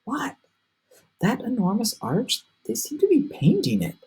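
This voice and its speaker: A woman's voice: on the high side, wildly swooping pitch, a little slow, darkish tone, husky, pitched above the performer's own voice, full character voice. A woman voicing a young boy hero in a storybook, calling out eagerly and urgently. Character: small brave boy hero.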